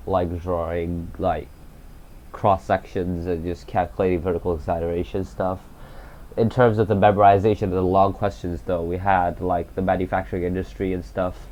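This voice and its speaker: A teenage boy whose first language is Cantonese, talking glumly in a casual vlog with many fillers, restarts and trailing pauses. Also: sits low, darkish tone, dull audio. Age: teens. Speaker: gaming YouTuber.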